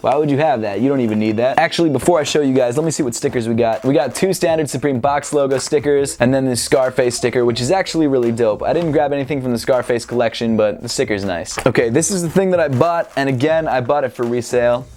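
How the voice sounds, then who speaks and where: A man in his twenties, slightly hoarse from a cold, chatting upbeat and straight to camera while unboxing clothes on a clip-on mic indoors. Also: medium pitch, fast, hardly any pauses, a little mellow, a little hiss or hum. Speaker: sneaker and streetwear vlogger. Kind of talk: casual unboxing vlog. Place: indoor room with sneaker shelves. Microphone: clip-on lapel mic.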